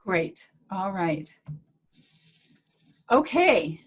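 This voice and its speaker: A woman's voice: mid-range voice, sing-song, extremely slow, breathy edge. A woman hosting a casual video call Q&A as a medical director, chatty and friendly with rambling restarts.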